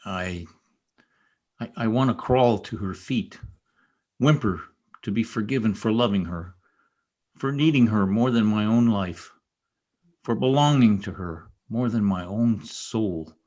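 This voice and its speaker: A man's voice: fairly low pitch, measured pace, pauses often, a little mellow. A man playing a bitter, lovesick young stable hand, passionate and brooding, in old-time radio drama style. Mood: anguished, pleading. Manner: intense, scornful and pleading. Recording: amateur radio play recorded over video call.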